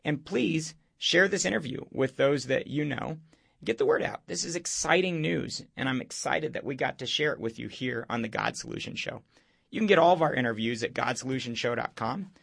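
A male radio show host, hoarse this morning, speaking in an earnest, preaching way. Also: medium pitch, expressive, a little fast, fairly bright tone, no echo, clean studio sound. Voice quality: gravelly. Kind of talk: evangelistic radio talk.

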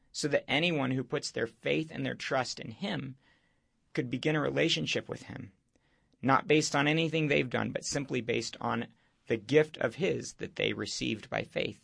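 Hoarse, gravelly male radio preacher. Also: medium pitch, fairly bright tone, no echo, clean studio sound. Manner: earnest, preaching. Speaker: radio show host. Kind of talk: evangelistic radio talk.

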